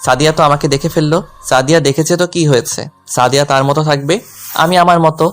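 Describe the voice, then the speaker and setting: Actor as lovelorn young male tutor, higher-pitched voice. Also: steady tone, quick pace, soft background music, natural voice. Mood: brooding. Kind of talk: scripted first-person romantic story narration with dialogue.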